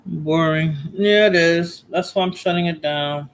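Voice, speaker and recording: A woman's voice: fairly low pitch, unhurried, poor audio. Chatty woman with a Bronx accent. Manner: chatty, laughs often, repeats herself. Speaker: livestream host. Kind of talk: casual livestream chat.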